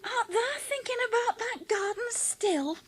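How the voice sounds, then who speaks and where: A woman's voice: very high voice, expressive, measured pace, fairly bright tone, exaggerated voice. A woman playing a chatty, plain-spoken young Yorkshire housemaid in a dramatised audiobook. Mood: knowing, chatty.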